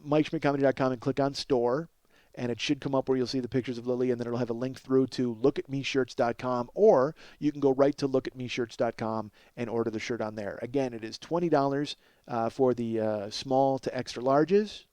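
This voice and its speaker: A man's voice: medium pitch, fairly even intonation, a little fast, dark tone, dry recording. A middle-aged man talks as a comedy podcast host with a mid-range voice. He rambles casually through plugs and asides, exclaiming, cursing and putting himself down as he goes. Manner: profane, self-deprecating, exclamatory.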